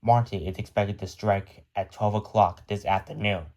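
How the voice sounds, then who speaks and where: Guy acting as a grave doomsday news announcer, kinda low voice, formal scripted emergency broadcast. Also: little pitch movement, normal speed, a little mellow, natural voice. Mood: solemn, regretful. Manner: solemn, formal.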